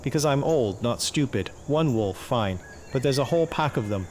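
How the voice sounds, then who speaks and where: Gruff old farmer played by a man, blunt and sardonic, game quest dialogue, faint night insects behind. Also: medium pitch, lively intonation, normal speed, a little mellow, slight background noise, natural voice. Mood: gruff, urgent. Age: older. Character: gruff old farmer beset by wolves, shrewd, grumbling. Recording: faint outdoor night ambience with crickets. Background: crickets and insects.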